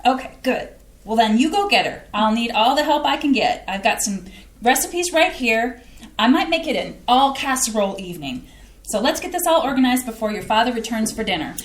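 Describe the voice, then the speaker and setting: A woman's voice: on the high side, big pitch swings, fairly quick, roomy sound. A woman playing a flustered, eager housewife. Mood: eager, bustling. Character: excitable Midwestern housewife and mother. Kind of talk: staged play reading. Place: theatre stage.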